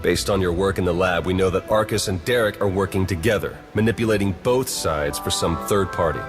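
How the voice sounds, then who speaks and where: A man's voice: deep voice, a little fast, natural voice. A man playing a wry, pragmatic spy agent in a video game, casual and matter-of-fact. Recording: loud game music underneath. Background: music.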